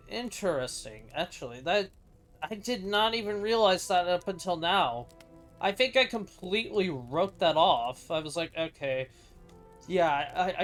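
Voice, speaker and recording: Blunt, sweary man reacting to a game. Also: high voice. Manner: blunt, sweary, thinking out loud. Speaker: game streamer. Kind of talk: casual unscripted gameplay reaction commentary.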